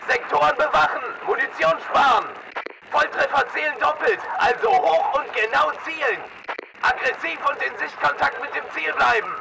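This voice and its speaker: Casting: tough mercenary soldier for shooter game, rough fry, clipped commanding chatter, noisy recording. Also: male voice, very high-pitched, normal speed, far from the mic, full character voice. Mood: barking, urgent. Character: armed mercenary soldier, tough, aggressive and disciplined. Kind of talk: military battle chatter, short barked commands.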